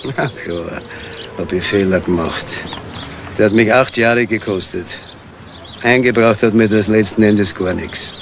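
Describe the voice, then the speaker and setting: An actor playing a worn-out ex-convict burglar in a crime radio play, coaxing and wistful, speaking deliberately in short, persuasive phrases. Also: male voice, on the low side, a little hiss or hum. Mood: rueful.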